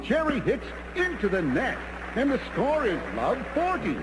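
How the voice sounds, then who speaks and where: A man voicing an excitable cartoon tennis commentator, calling the play in a theatrical, exclamatory manner. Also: extremely high pitch, a little slow, exaggerated voice, rough recording. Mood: announcing. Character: excitable male cartoon tennis match announcer. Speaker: sports commentator. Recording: music under the voice. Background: loud background music.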